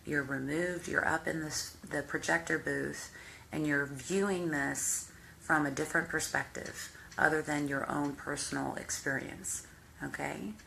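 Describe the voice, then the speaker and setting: A woman hypnotherapist with a deep voice, guiding the listener through a visualization in slow, calm, step-by-step instructions. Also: creaky, a little hiss or hum, rough recording. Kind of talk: guided visualization exercise.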